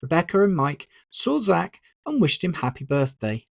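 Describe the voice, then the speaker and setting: A narrator reads a story in a deliberate audiobook style. The pitch is mid-range and moves in lively swings. The sound is close and dry, with expressive phrasing throughout. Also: creaky, dry recording. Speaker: author narrating own audiobook.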